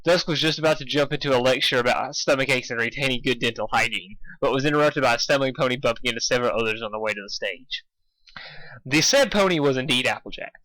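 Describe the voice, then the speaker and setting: A man's voice: mid-range voice, bright, forceful tone, no echo, low-quality recording. A man narrating a story in an amateur way, stumbling over words and correcting himself. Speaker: fan fiction reader.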